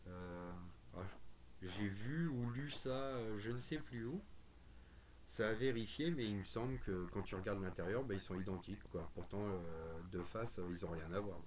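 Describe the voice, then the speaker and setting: A man's voice: lowish voice, moderate pace, darkish tone, slight background noise. A man chatting casually and bluntly in informal, opinionated slang. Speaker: radio hobbyist chatting on a group call stream. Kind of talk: casual chat.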